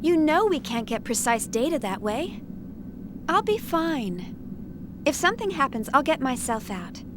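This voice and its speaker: An actress voicing a headstrong young chief scientist in a video game dub, breezy and self-assured. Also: female voice, high-pitched, sing-song, unhurried. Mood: breezy determined. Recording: noisy game soundtrack ambience.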